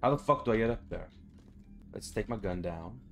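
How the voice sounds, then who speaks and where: A man's voice: fairly low pitch, moderate pace, creaky, soft background music. A young man giving casual, sweary game commentary, talking to himself as he reacts. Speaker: gaming YouTuber. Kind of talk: casual horror game let's play commentary. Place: home room, indoors.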